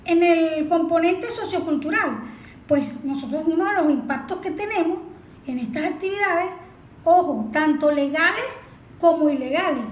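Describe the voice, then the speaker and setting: A woman with a high voice gives didactic interview explanations. She sets up questions and answers them herself. The room echoes and carries a faint noise. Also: animated, moderate pace. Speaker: environmental expert being interviewed.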